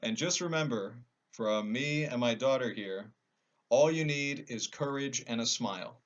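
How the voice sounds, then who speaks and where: A man's voice: mid-range voice, a little slow, lo-fi sound. A man narrating a children's storybook as a storyteller.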